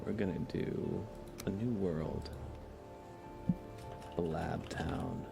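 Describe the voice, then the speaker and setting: A man chatting casually on an ASMR game livestream in a soft, breathy voice that often slips toward a whisper, hesitant and rambling. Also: deep voice, extremely slow. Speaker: livestream host. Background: music.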